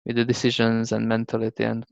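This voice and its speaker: A man's voice: medium pitch, monotone, fast talker, creaky, lo-fi sound. A man from Hungary with a Hungarian accent, chatting casually as an interview guest in a somewhat hesitant way. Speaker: podcast guest.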